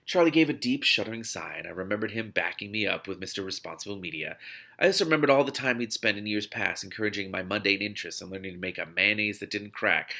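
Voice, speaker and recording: Low, gravelly man reading a novel aloud. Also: lively intonation, quick pace, bright, forceful tone. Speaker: reader and host of a read-aloud show. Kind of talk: expressive audiobook-style reading with spontaneous reactions.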